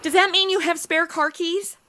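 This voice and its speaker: A woman's voice: extremely high pitch, steady tone, moderate pace, sharp tone. A woman playing a ditzy, cheeky, meddling young sidekick in a comedic audio drama, blithely clueless and exaggerated. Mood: sheepish, defensive. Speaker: comic sidekick, star of the show.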